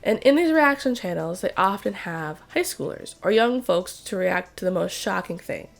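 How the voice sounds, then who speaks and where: A woman YouTuber giving casual, opinionated commentary while she draws, her voice hoarse and congested. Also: mid-range voice, animated, moderate pace. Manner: chatty, opinionated, conversational. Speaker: art YouTuber.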